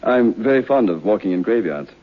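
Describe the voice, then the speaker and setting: An actor playing a polite, earnest young divinity student in a vintage radio drama, a little hesitant and defensive. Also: male voice, lowish voice, expressive, a little fast, close to an everyday voice. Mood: courteous. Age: young adult. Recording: muffled old radio recording.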